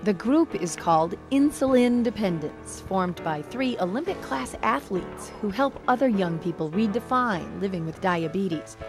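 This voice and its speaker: An American woman narrates a scripted TV news feature as a reporter. Her pitch sits in the middle range, with music clearly playing behind her. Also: expressive, a little slow, hardly any pauses.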